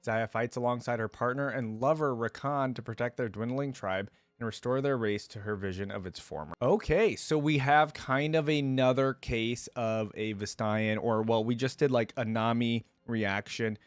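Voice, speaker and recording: A man's voice: mid-range voice, normal speed, hardly any pauses, no echo. A man hosting a reaction video, reading aloud and adding casual, conversational asides as he goes.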